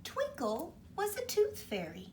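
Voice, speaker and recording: Slow, lively woman reading a storybook. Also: sits high, distant mic, a little hiss or hum. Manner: expressive, asks the children questions. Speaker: teacher reading to children. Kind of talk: storybook read-aloud for kids.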